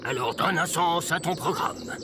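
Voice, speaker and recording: A man's voice: on the high side, normal speed, noisy background, close to an everyday voice. A man playing an eccentric sage robot mentor in audio fiction, theatrical, lofty and instructive.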